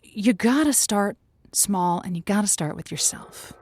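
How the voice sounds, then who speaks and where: A woman's voice: medium pitch, expressive, unhurried, a little mellow. A woman playing an earnest, encouraging radio advice host in chatty, improvised talk. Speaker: host of a call-in advice show.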